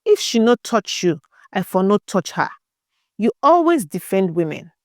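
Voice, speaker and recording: A woman's voice: mid-range voice, very animated, normal speed, darkish tone, no room sound, close to an everyday voice. Woman acting proud jealous girlfriend, hot-tempered, defiant, Nigerian Pidgin, storytelling, swinging intonation, dry sound. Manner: confrontational, slips into Nigerian Pidgin.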